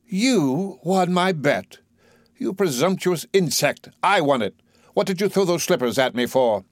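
A man's voice: medium pitch, big pitch swings, moderate pace, no room sound, clean studio sound, voice pushed up. A man playing an arrogant, impatient male professor with an imperious, condescending, exclamatory way of talking in a play reading. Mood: wrathful, impatient.

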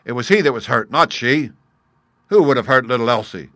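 A man's voice: fairly low pitch, normal speed, fairly bright tone, no echo, natural voice. Audiobook villain, played by a male narrator: swaggering American crook, blunt, proud, defiant, closely recorded and dry. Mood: fierce, anguished. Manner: blunt, colloquial, defiant speech. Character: swaggering American criminal, fierce, grief-stricken and proud. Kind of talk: audiobook character dialogue.

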